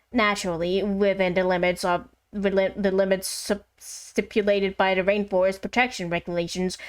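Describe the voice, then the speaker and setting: Courteous game character, read by a woman streamer, earnest formal letter delivery, calm manner, music underneath. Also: mid-range voice, voice pushed down, natural voice. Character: calm, courteous rainforest dweller inviting a traveler to visit.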